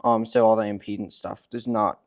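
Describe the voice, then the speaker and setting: A man's voice: lowish voice, level tone, a little fast, no room sound, studio quality. A man explaining features step by step as a product demonstrator, recorded indoors in a workshop.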